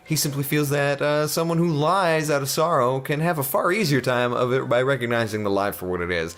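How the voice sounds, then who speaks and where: A man's voice: mid-range voice, brisk, fairly bright tone. A man hosting a let's play, reading game text aloud in a jokey, mock-dramatic narration.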